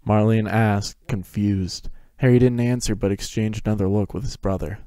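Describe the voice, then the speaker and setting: A man's voice: lowish voice, steady tone, normal speed, dark tone, clear, resonant tone, dry recording, studio quality. A man narrating a fan fiction story as a scripted dramatic reading.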